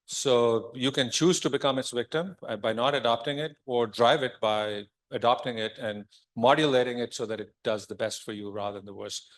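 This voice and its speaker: A man's voice: medium pitch, moderate pace, hardly any pauses. A man answering audience questions after a conference talk, thoughtful, with frequent restarts. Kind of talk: Q&A discussion.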